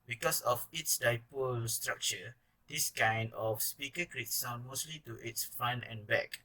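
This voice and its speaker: A man's voice: mid-range voice, measured pace, pushed and bright. A young man with a Malaysian accent reads a scripted class presentation as a student. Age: young adult.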